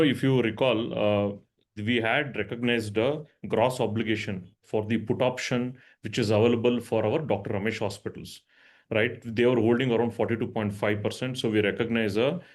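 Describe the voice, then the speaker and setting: A man speaking as a company finance executive, explaining answers on an earnings call and often checking in with "right?". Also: fairly low pitch.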